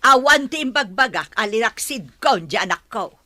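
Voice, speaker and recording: A woman's voice: on the high side, expressive, moderate pace, sharp tone, no room sound. A woman acting a protective older mother and grandmother in a radio drama, emphatic and forthright. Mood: indignant.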